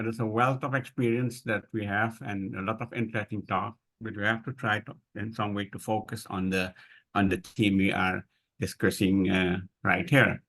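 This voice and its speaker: A man moderating an online discussion in an informal way, speaking haltingly and searching for his words. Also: on the low side, fairly bright tone. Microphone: online video call.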